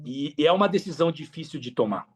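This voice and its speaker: A man's voice: on the high side, expressive, fast, breathy edge. A Brazilian man telling his story as a podcast guest in an expansive, slangy way, repeating himself for emphasis. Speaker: podcast guest, affiliate marketer. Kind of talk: casual interview storytelling.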